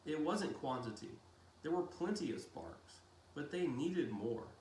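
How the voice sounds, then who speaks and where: Slow-reading man in an echoey room. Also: a little high, expressive, a little mellow. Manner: deliberate, dramatic phrasing with pauses. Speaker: audiobook-style reader. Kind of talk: expressive storybook narration of a novel. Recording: roomy echo with faint hiss.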